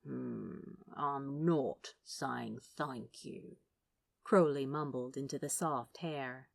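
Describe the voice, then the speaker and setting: A woman's voice: sits low, darkish tone, no echo. A woman reading fiction aloud as a narrator, in a dramatic, emotive storytelling style. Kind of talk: expressive scripted audiobook narration.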